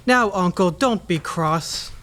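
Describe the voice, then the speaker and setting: An actor playing a cheerful, forgiving nephew in a radio play, speaking earnestly and politely. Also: male voice, high voice, expressive, slow pace, fairly bright tone, full character voice. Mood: gently protesting.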